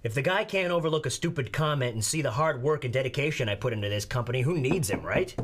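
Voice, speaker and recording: A man's voice: mid-range voice, animated, quick pace, close to an everyday voice. A man playing a plain-spoken office worker and family man in an audio drama. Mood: earnest. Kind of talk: scripted audio drama acting, naturalistic.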